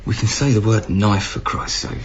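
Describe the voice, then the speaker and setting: An actor playing an earnest, harried young British council housing officer in a naturalistic radio drama. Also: male voice, on the low side, normal speed, natural voice. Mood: wry, weary. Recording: muffled radio recording, noisy ambience.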